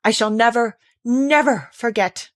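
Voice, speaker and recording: A woman's voice: on the high side, a little slow, fairly bright tone. Woman playing a flustered, fussy storybook king. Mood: horrified. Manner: dramatic, flustered.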